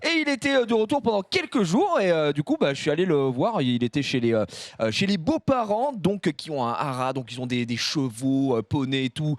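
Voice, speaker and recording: Male host, chatty and loose, slightly high voice, dry studio mic. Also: very animated, brisk, no echo. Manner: chatty, laughing, lots of fillers. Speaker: show host. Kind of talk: casual panel chat.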